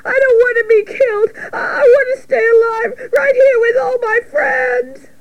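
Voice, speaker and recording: A woman voicing an excitable, naive young male pig in a children's storybook, given to shrieks and eager exclamations. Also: very high-pitched, slow pace, dull audio, voice pushed up, full character voice. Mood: panicked, tearful.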